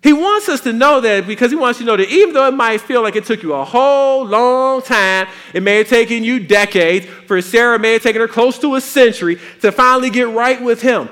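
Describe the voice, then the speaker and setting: Male preacher, folksy sermon, high voice, bright and lively. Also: a little fast, few pauses. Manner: folksy, animated preaching with playful asides.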